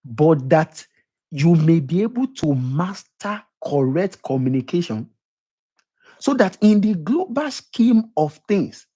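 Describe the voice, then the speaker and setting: A man's voice: a little high, big pitch swings, takes it slowly, dark tone. A middle-aged man with a Nigerian accent giving an emphatic motivational lecture, repeating his points and putting questions to the audience. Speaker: motivational speaker.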